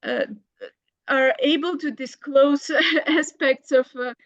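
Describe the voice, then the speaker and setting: A woman's voice: high voice, takes it slowly, fairly bright tone, dry recording, dull audio. A middle-aged woman, a lecturer answering audience questions, hesitant with many fillers and restarts. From Bulgaria. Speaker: guest lecturer. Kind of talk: academic Q&A answer.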